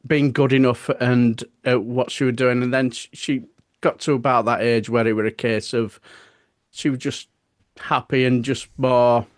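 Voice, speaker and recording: A man's voice: medium pitch, dry recording, studio quality. A man in his fifties chatting casually on a podcast, rambling and restarting his sentences. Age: 50s. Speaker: podcast co-host. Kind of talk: casual chat.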